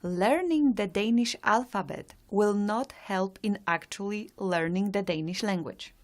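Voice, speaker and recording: A woman's voice: mid-range voice, measured pace. Woman co-host quizzing with true-or-false facts. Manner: reads facts then asks true or false. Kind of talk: presenting facts on a live show.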